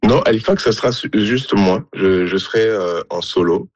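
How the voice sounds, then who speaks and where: Deep-voiced Congolese-born man, hesitant. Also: normal speed, dry recording, highs cut off. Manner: hesitant, many fillers and restarts. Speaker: musician and DJ guest. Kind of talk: radio interview answers.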